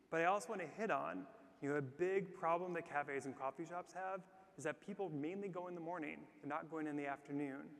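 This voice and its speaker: A man answering questions as a startup founder after his pitch on a theatre stage. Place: large theatre stage before live audience. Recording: long reverberant theatre hall.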